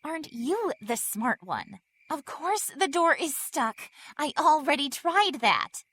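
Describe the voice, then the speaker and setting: An injured, sarcastic teenage mean girl in an audio drama, played by a woman. Her high, bright voice swoops with expression and stays biting and demanding. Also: sing-song, slow, full character voice. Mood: scornful, pained.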